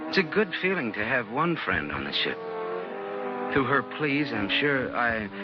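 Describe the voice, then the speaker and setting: An actor playing a haunted, fearful fugitive in an old-time radio drama, speaking in a hesitant, troubled, confessional way. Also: male voice, medium pitch, big pitch swings, measured pace. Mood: brooding, haunted. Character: haunted, fearful fugitive man hiding among sailors. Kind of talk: old-time radio drama acting with first-person narration. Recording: muffled vintage radio recording, loud music.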